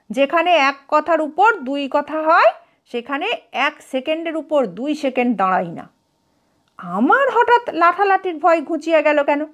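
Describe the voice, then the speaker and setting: A woman reading literary fiction aloud as a narrator, in an expressive, dramatic scripted style. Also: high-pitched. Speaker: story narrator.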